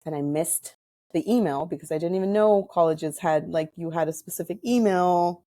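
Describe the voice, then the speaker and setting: A woman's voice: lowish voice, moderate pace, mellow, no room sound. A woman from Hawaii with an American accent, chatting as an interview guest and retelling personal stories with quoted dialogue. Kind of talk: casual personal storytelling in conversation.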